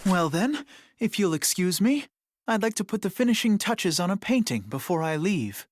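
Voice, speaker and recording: An actor playing a proud, soft, artistic young prince in an English anime-dub audio drama, polished and genteel. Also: male voice, sits high, big pitch swings, normal speed, a little hiss or hum. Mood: composed.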